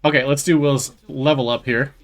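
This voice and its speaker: A man's voice: mid-range voice, steady tone, moderate pace. A man hosting a gaming video, giving casual commentary on his playthrough. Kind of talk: casual game playthrough commentary, reading choices aloud. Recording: faint game music behind. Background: game music.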